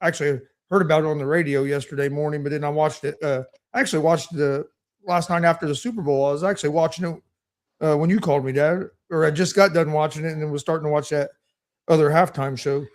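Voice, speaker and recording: A man's voice: on the high side, steady tone, fast. A man co-hosting a casual sports chat who rambles and often repeats himself. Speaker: co-host.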